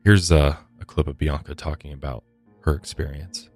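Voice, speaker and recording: A man with an extremely deep voice hosts a true crime podcast. He speaks conversationally and matter-of-factly, measured but with casual asides, over music in the background. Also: fairly even intonation, darkish tone. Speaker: podcast host.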